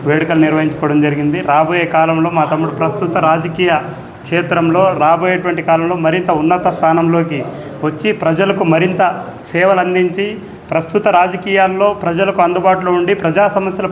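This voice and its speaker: A man, a party member, giving a formal tribute speech with repetitive phrasing. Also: sits high. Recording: roomy echo, faint background noise.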